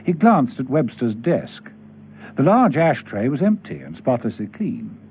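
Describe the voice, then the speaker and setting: A man's voice: mid-range voice, huge pitch swings, unhurried, slight background noise. A man narrating a scripted radio drama as its storyteller. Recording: dull old radio recording with music.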